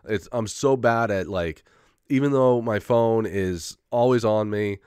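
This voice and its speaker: A man's voice: on the low side, unhurried, a little mellow, clear, resonant tone, no room sound, clean studio sound. A young man in a casual rambling monologue, full of fillers, restarts and swearing, getting over a head cold with an occasional cough. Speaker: vlogger.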